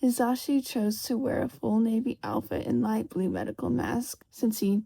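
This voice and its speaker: A woman's voice: fairly high pitch, normal speed, creaky, mellow, husky. A woman reading a fan fiction story aloud as scripted narration. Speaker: fan fiction audiobook reader.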